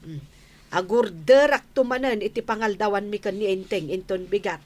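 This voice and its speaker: A woman acting a busy, apologetic housewife in an Ilocano radio comedy drama, expressive and given to explaining herself. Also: medium pitch, normal speed, slight background noise, natural voice. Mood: apologetic, friendly.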